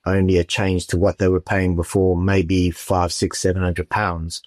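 A man with a very deep voice lays out his points as a mortgage adviser in an interview. His delivery is steady and businesslike. The recording is dry and close. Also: no room sound. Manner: measured, explanatory, businesslike. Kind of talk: expert interview answers.

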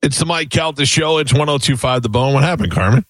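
A man's voice: medium pitch, fast. A middle-aged man hosting a morning radio show, rambling and self-deprecating as he interviews a guest, often tripping over his words.

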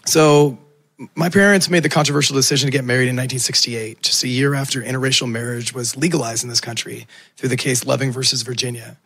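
A man who is a Baptist minister, speaking as a panelist with a raspy voice from illness, earnest and thoughtful. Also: medium pitch, a bit bright, no echo. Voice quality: gravelly. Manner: earnest, thoughtful, with small laughs. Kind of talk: panel discussion remarks.

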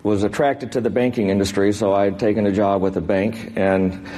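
A man in his sixties with an American accent speaks in a low, soft-edged, dark voice. He answers interview questions in measured, halting phrases, and the sound rings with the long reverb of a big room. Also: fairly even intonation. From Texas, United States. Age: 60s. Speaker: interviewed business executive. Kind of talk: unscripted on-stage interview answers. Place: large indoor event room before an audience.

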